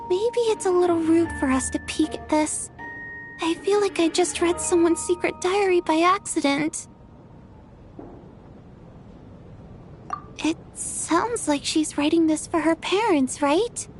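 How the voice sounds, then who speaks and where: A woman's voice: very high voice, measured pace, darkish tone, faint music behind. An actress playing a timid, polite young woman who works at a theater, with a hesitant, stammering delivery in a video game. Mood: puzzled.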